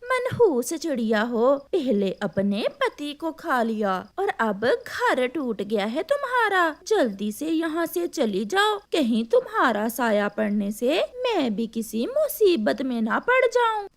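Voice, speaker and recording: A woman's voice: sits high, very animated, slow, hardly any pauses, exaggerated voice. A woman voicing a harsh, dismissive and superstitious female crow in a cartoon story. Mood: scornful.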